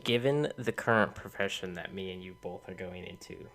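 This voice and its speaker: A man's voice: deep voice, normal speed, a bit bright. A young American man talking casually as a co-host on a podcast. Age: young adult. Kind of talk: casual chat.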